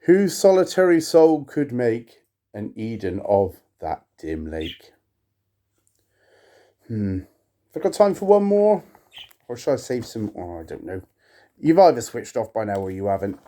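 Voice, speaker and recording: Mellow, unhurried man reading with wry theatricality. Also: lowish voice. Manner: wry, self-mocking, playful; slow, dramatic delivery of verse. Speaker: video creator and host reading poetry aloud. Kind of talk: theatrical poetry reading with chatty asides.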